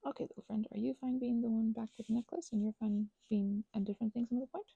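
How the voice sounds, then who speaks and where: A woman's voice: on the high side, steady tone, quick pace, gravelly, mellow, breathy edge, dry recording, lo-fi sound. A woman chatting casually while she does crafts, whimsically interrupting herself and talking to herself and to objects. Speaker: craft and ASMR video creator.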